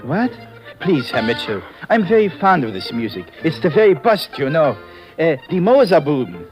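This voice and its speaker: An actor playing a suave, evasive Swiss innkeeper with a German accent, courteous and unhurried, in 1950s radio drama style. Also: male voice, a little high, big pitch swings. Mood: genial evasion. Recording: old radio recording, accordion music behind.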